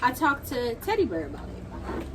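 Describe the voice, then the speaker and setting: A young adult woman in casual storytime chat, slangy and halting, repeating phrases for emphasis. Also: a little high, wildly swooping pitch. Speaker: video creator, guest co-storyteller. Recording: clearly noisy home video recording.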